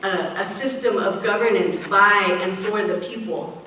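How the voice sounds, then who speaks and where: A middle-aged woman giving a prepared speech at a community event, measured and earnest, slowing down to stress key phrases. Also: medium pitch, pushed and bright. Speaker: event speaker. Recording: echoey hall, picked up from a distance, faint hiss.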